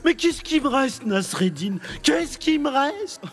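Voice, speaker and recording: A plump, balding cartoon sultan, very high in pitch. He is plaintive and sorry for himself, lamenting in a gloomy way. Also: big pitch swings, slow pace, faint music behind, exaggerated voice. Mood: self-pitying. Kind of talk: French children's cartoon voice acting.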